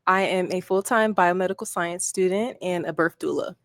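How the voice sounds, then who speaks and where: A woman's voice: on the low side, normal speed, a bit bright, no echo. A woman in her twenties, a dating show contestant explaining herself in a chatty way with lots of fillers. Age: 20s.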